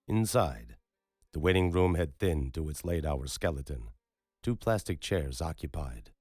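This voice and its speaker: A man narrating a dark thriller audiobook in a measured, moody storytelling manner. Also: a bass voice, husky, no room sound, studio quality.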